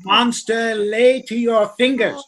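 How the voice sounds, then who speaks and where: A man's voice: very high voice, little pitch movement, slow pace, a bit bright, heavily acted. An actor playing a drunken, pompous, blustering butler who fancies himself king, in a Shakespearean radio play. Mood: pompous, bossy.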